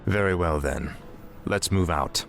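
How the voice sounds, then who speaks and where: Fallen dark prince, deep, cold, imperious, fantasy game, music underneath. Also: male voice, unhurried, creaky. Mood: cold, impatient. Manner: grim, imperious. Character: cold, remorseless young fallen prince turned death knight. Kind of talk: fantasy video game acting.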